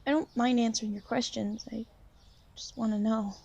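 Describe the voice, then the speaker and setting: A woman playing a shy, gentle young vampire in an ASMR roleplay, speaking softly and hesitantly to the listener. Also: on the high side, steady tone, vocal fry, husky, muffled recording. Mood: subdued, hesitant. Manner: hesitant, soft-spoken, gently halting. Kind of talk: ASMR roleplay, soft intimate talk to the listener.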